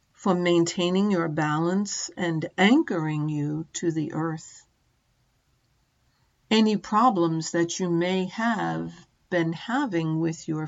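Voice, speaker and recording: A middle-aged woman narrating a guided meditation as a teacher, gently and in short measured phrases with long pauses. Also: fairly low pitch, muffled recording.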